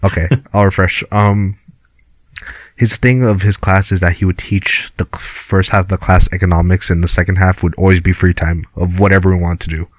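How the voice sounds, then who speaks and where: Deep-voiced young man with a Filipino accent. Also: flat delivery, fairly quick, dry recording, rough recording. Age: young adult. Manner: laid-back, laughs often, swears casually. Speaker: podcast co-host. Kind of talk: casual chat. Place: at home, remote call.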